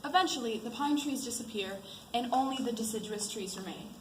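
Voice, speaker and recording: A woman's voice: fairly high pitch, a little fast, close to an everyday voice. A woman acting as a sarcastic, exacting college teacher giving a pointed lecture in an amateur stage play. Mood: sarcastic, lecturing. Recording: echoey stage with clear background noise.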